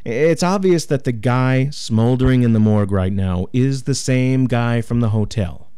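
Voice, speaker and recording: A man playing a businesslike small-town policeman going briskly through case details. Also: mid-range voice, warm tone, clean tone, dry recording, studio quality, natural voice. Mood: exasperated.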